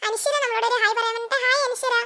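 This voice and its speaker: A woman hosting a cartoon channel, giving casual, chatty shout-outs to viewers in a repetitive greeting pattern. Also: very high voice, fairly even intonation, racing.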